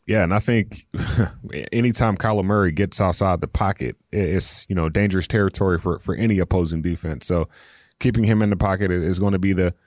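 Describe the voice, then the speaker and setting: A man's voice: deep voice, brisk, a little mellow, no echo, clean studio sound. A man hosting a sports radio show, introducing segments and putting questions to a guest.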